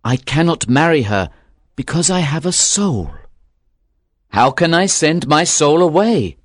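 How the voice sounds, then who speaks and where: A woman's voice: low-pitched, very animated, measured pace, dry recording, clean studio sound, heavily acted. A woman reading a storybook aloud, voicing a young male fisherman who pleads earnestly. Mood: pleading.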